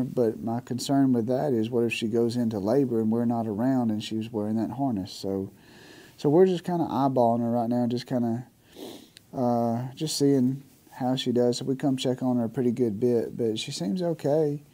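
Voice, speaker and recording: A man, talking casually to camera about his farm, nasal from a cold, halting with many fillers and restarts. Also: mid-range voice, mellow. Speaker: farm vlogger. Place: barn at night.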